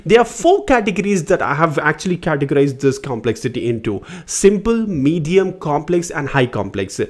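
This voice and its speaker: A man's voice: fairly high pitch, normal speed. A man in his thirties hosting his own channel, explaining data concepts to camera in an eager, emphatic way from a small home studio. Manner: eager, emphatic, lively delivery with hand gestures. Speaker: data educator and channel host. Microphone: studio mic on boom beside him.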